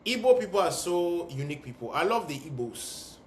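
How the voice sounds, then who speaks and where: A man's voice: a little high, sing-song, fairly quick, slight background noise. A young man from Ghana and Sierra Leone speaking to camera in an earnest, emphatic vlog monologue, repeating phrases for effect. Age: young adult. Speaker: vlogger. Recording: echoey room.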